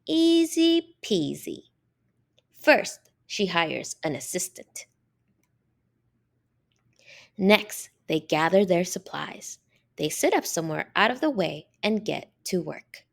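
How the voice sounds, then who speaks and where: A woman reads a picture book aloud for children in a lowish, slightly creaky voice. Her delivery is highly animated and swoops up and down, with deliberate, drawn-out stress on important words. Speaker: storybook reader.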